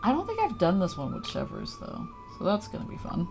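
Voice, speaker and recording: A woman's voice: medium pitch, wildly swooping pitch, a little fast, gravelly, over music. A woman livestreaming a video game, chatting casually with viewers in a playful, exclamatory way and muttering to herself as she plays. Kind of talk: casual gaming chat with viewers, reacting to play.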